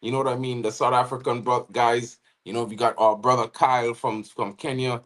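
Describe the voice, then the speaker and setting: A man with a Trinidadian accent chats casually through a live football watchalong stream. His voice sits in an ordinary middle pitch. He talks loosely and sociably, greeting viewers by name and peppering his talk with "you know what I mean". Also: level tone, brisk. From Trinidad and Tobago. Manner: chatty, shouting out chat names, frequent "you know what I mean". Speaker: watchalong co-host.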